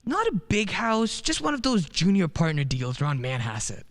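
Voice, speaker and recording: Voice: mid-range voice, huge pitch swings, moderate pace. An actor playing a friendly young male lawyer in a radio play, breezy and wry. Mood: affable. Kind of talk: stage-style radio play acting.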